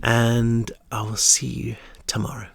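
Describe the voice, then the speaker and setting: A man with a croaky, fading voice reading his own novel aloud in a steady storytelling manner, with chatty asides. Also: medium pitch, unhurried, no echo, clean studio sound. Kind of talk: audiobook-style read-through.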